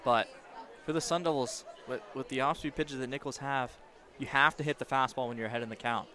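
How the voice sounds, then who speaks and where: A man's voice: medium pitch, fairly even intonation, fairly quick, sharp tone, a faint crowd. A man giving live baseball color commentary, explaining and judging the play in a conversational, opinionated way. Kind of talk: live analytical sports commentary. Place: outdoor baseball stadium broadcast position.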